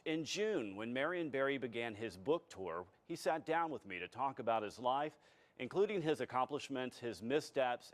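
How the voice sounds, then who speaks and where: A man working as a TV news anchor and reporter, delivering scripted news narration. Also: mid-range voice.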